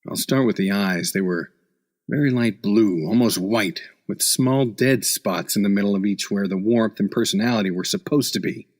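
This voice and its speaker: A man's voice: fairly low pitch, normal speed, a little mellow, lightly acted. A man playing a hard-boiled beat reporter in a noir radio play, sardonic and slangy with a tough-guy manner. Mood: wary narrating. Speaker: actor in an audio drama.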